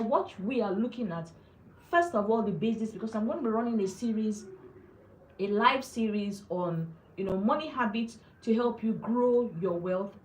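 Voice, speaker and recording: Earnest woman host in an echoey room. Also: a little high. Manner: earnest, repeats phrases for emphasis. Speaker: personal finance host. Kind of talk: live-stream talk to viewers.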